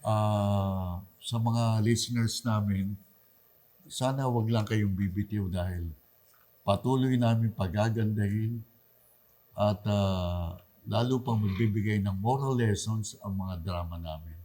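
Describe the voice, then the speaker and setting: Husky low-voiced Filipino man. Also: slow, dark tone. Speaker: radio drama talent, guest. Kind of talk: interview answers.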